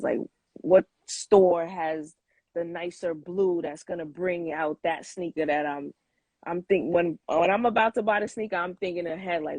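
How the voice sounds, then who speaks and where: A woman's voice: on the low side, darkish tone, no room sound. A woman in her forties chatting informally as an interview guest, rambling a little as she thinks aloud. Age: 40s. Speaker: interview guest, sneaker collector. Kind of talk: casual interview chat.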